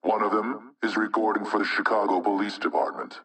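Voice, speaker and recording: Creepy insinuating hacker collective spokesman for a game, preachy, low voice, sounds off mic like a radio broadcast. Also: steady tone, a bit bright, recorded from a distance. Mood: menacing, defiant. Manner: measured, rhetorical, insinuating. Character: ominous anonymous male hacktivist spokesman, preaching and menacing. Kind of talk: scripted propaganda broadcast in a video game.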